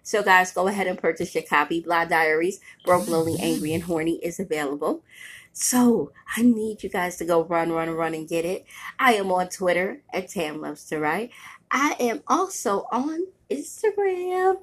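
A woman's voice: on the low side, expressive, moderate pace, fairly bright tone, husky. A woman hosting a motivational podcast, emphatic and repetitive, sounding congested and nasal from a cold. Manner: emphatic, preachy, repeats phrases for effect. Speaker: podcast host and author.

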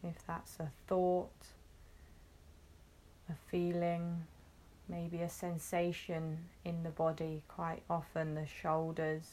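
Slow-speaking woman guiding a meditation. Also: lowish voice, completely flat delivery. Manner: gentle, unhurried, with long pauses between short phrases. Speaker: meditation guide. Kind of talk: guided meditation, soothing instruction.

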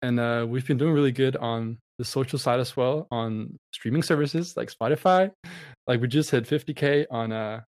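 A young man from Denmark, a musician guest joining by remote call, chats upbeat and laughs easily. His voice is mid-pitched and sounds dry and close. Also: normal speed, a little mellow, no echo. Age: young adult. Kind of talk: casual interview chat.

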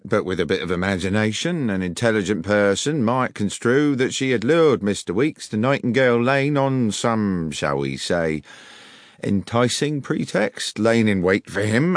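A man's voice: lowish voice, no echo, studio quality, voice pushed up, close to an everyday voice. A man voicing a smug, officious police sergeant who is pompous, wordy and grudgingly polite.

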